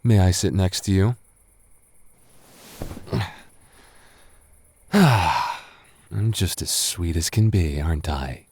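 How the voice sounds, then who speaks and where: A man's voice: sits low, lively intonation, slow, darkish tone, husky, exaggerated voice. A man acting a tipsy young roommate who is teasing, flustered and secretly smitten, stammering and rambling in a boyfriend roleplay audio. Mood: teasing, sweet.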